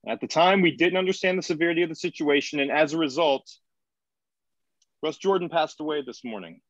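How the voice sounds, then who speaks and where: An actor playing an earnest colony leader trying to keep control in a screenplay table read, measured and placating. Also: male voice, a little high, no room sound, highs cut off, lightly acted. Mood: solemn, official.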